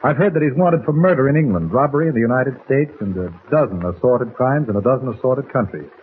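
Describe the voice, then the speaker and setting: An actor playing a courteous, cautious plantation manager with a measured manner in a 1940s radio drama. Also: male voice, mid-range voice, lively intonation, close to an everyday voice. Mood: wary, concerned. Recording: tinny, noisy 1940s radio recording.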